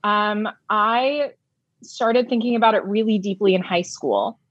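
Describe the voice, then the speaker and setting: A young woman whose first language is Arabic speaks thoughtfully in an interview, circling back and rephrasing as she talks. Her voice sits at an ordinary middle pitch. The sound is dry and a little dull. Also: unhurried, no echo. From United States (Brooklyn, New York). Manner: reflective, with frequent restarts and soft laughs. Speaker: guest, journalist. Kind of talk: candid interview answers.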